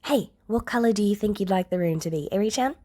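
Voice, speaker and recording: Lady doing a caring big-sister type girl, casual n gentle, bit awkward, story reading. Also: medium pitch, lively intonation, fast, natural voice. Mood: cheerful. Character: caring older girl, protective, cheerful yet awkward.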